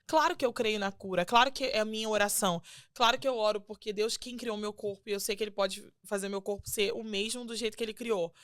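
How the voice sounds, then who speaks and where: A young adult woman with a Brazilian Portuguese accent, a singer guesting on a podcast, telling her faith story in an earnest, animated way and acting out quotes. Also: medium pitch, fast, hardly any pauses, no echo, clean studio sound. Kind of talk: casual testimony chat.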